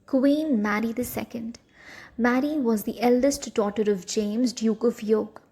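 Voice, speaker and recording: A woman's voice: fairly high pitch, a little mellow, husky. A woman reading scripted educational narration for a short history film.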